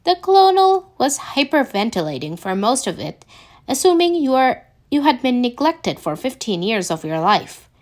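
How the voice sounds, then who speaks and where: A woman's voice: sits high, sing-song, normal speed. A woman reading the part of a polite, matter-of-fact female AI assistant in a story, explaining things precisely. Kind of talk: amateur audiobook character reading.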